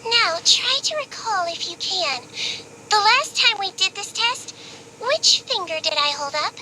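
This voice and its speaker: A woman voicing a small, gentle, earnest creature who works as a head nurse in a video game. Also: very high-pitched, animated, a little slow, a little hiss or hum, heavily acted, rough recording. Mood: gentle, businesslike. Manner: cute, polite, earnest clinician. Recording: game capture with background music.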